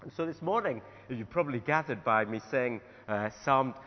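A man, a pastor preaching a sermon in a croaky voice, often restarting his sentences. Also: mid-range voice, expressive, brisk. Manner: rambling with restarts. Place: church hall. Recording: dull sound in a reverberant hall.

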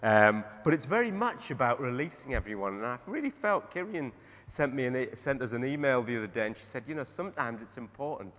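A man, a pastor preaching a sermon in a croaky voice, often restarting his sentences. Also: medium pitch, lively intonation, fast talker. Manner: rambling with restarts. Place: church hall. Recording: dull sound in a reverberant hall.

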